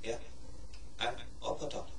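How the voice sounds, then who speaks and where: An actor playing a small, polite, matter-of-fact robot in a children's audio play, speaking in a measured, formal, deliberate way. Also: male voice, natural voice. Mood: polite, earnest. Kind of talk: 1970s German children's audio play acting. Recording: noisy old record transfer with clicks.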